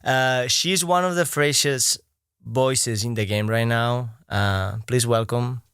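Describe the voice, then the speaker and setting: A man's voice: mid-range voice, takes it slowly, clean tone, no room sound, clean studio sound. A man hosting a casual sit-down interview, easygoing and friendly, with many small fillers. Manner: easygoing, warm intro with many "uh" and "um". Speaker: interviewer host.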